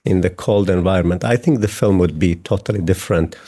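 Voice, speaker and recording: Low, dark-voiced hesitant man. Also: a little fast. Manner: hesitant, many fillers and restarts. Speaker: film director. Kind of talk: interview answers.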